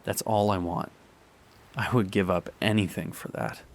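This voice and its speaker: A young man playing a heartbroken boyfriend in an audio drama monologue, speaking earnestly and haltingly. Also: low voice, fairly even intonation. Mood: bitter, longing. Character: heartbroken young boyfriend pleading with his distant partner.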